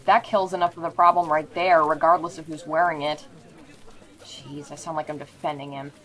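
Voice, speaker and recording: A woman's voice: low voice, level tone, normal speed, a bit bright. A woman playing a blunt former team member in an audio drama, wry and casual.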